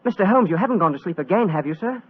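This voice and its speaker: A man's voice: high voice, lively intonation, rapid-fire, slight background noise. An actor playing an earnest, deferential young British government man in a 1940s radio drama, polite and eager. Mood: earnest, hesitant. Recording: old muffled 1940s radio recording.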